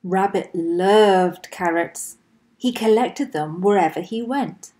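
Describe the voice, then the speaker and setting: A woman reading a picture book aloud to children as an expressive storyteller, leaning deliberately on key words. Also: medium pitch.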